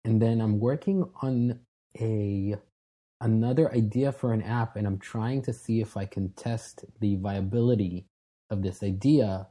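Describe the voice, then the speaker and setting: Guy in his 30s from israel, super dark mellow voice, kinda breathy, chatty and relaxed, lots of restarts. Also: fairly low pitch. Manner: relaxed, frequent restarts and fillers, self-deprecating. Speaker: guest. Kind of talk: casual interview talk.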